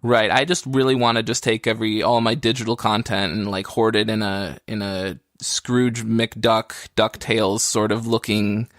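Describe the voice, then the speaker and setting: A man's voice: on the low side, flat delivery, normal speed, hardly any pauses, a bit bright, dry recording, clean studio sound. A man co-hosting a podcast in casual, joking chat with a wry streak of exaggeration. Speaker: podcast co-host.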